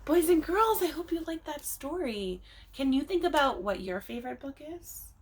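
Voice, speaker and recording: A woman teacher reading a picture book aloud to young children, expressively, with dramatic emphasis and drawn-out words, speaking to them directly. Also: high-pitched, big pitch swings, slight background noise. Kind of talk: animated children's storybook read-aloud.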